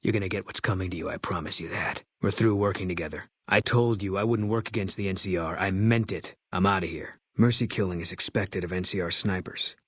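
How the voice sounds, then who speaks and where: An actor voicing a grim, bitter former army sniper in a video game, guarded and grieving, with terse, flat, brooding delivery. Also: male voice, deep voice, a little fast, hardly any pauses, breathy edge, no room sound, full character voice. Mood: cold, angry.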